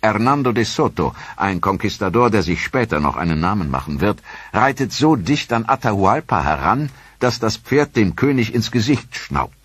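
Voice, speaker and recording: A man narrating a history documentary in a dramatic, measured storytelling manner. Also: lowish voice, sing-song, fairly bright tone, breathy edge, no echo.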